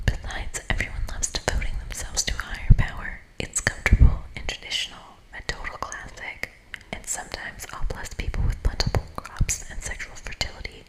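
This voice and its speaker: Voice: brisk, close to an everyday voice, low-quality recording. An ASMR reader voicing a vain, gushing goddess from a game in a whisper, dramatic, pompous and affectionate. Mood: indignant, pompous.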